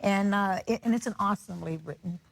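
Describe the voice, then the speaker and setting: A woman's voice: medium pitch, fast talker, gravelly, no room sound. A woman speaking as a stage director in a casual interview.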